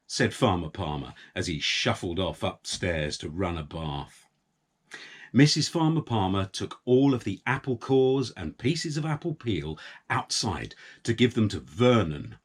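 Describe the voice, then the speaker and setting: A man narrating a story for young children, with deliberate, dramatic phrasing. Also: low-pitched, slightly rough.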